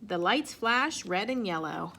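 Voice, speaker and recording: A woman reading a children's picture book aloud in an expressive way. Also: medium pitch, a little slow, slight background noise. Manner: expressive, acts out sound effects and exclamations. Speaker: storybook reader.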